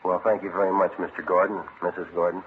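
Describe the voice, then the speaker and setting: An actor playing a clipped, deadpan American detective sergeant in an old radio police drama, businesslike and courteous. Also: male voice, low-pitched, quick pace, natural voice. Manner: clipped, deadpan, terse sentences. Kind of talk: 1950s radio police drama acting and narration. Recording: muffled vintage radio with faint hiss.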